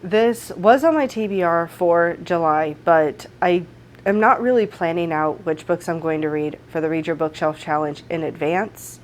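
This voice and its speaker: A woman's voice: fairly low pitch, normal speed, slight background noise. Congested woman chatting about books. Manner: friendly, chatty, upbeat. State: slightly congested from a cold. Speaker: book vlogger. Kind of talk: casual book wrap-up chat. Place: home room. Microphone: desk microphone.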